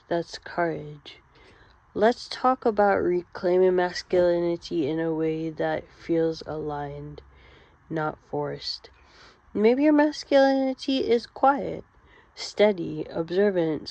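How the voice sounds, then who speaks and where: In a roomy, slightly muffled recording, a woman leads a therapy-style monologue. Her voice sits a little low for a woman. She speaks gently and deliberately, leaving pauses between short phrases. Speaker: therapy-style guide addressing men. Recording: roomy, slightly dull lo-fi sound.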